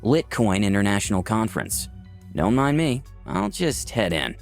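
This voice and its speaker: A man's voice: on the low side, sing-song, moderate pace, creaky, faint music behind. A man reading as a flirty, cocky personification of Lust, narrating a visual novel in a musing, theatrical way. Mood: playful, curious. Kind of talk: first-person visual novel read aloud.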